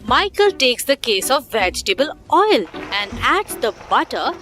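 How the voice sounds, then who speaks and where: Woman, children's story narrator, bright, high-pitched, scripted delivery, background music. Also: big pitch swings, moderate pace.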